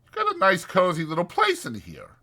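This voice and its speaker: An old swamper on a ranch in a dramatized audiobook. The voice is high and bright, timid yet eager, with big folksy swings in pitch. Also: male voice, huge pitch swings, brisk, exaggerated voice. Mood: embarrassed, friendly. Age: older.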